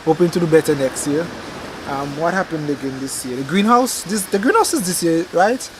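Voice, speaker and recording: Young man, rambling, self-correcting vlog chat, slightly raised pitch, faint yard ambience behind. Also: fairly quick, noisy background. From Dominica. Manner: relaxed and chatty, self-correcting, laughs at his slips. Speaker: gardening channel host. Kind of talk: casual rambling chat to camera. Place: outdoors in a backyard garden. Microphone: camcorder microphone.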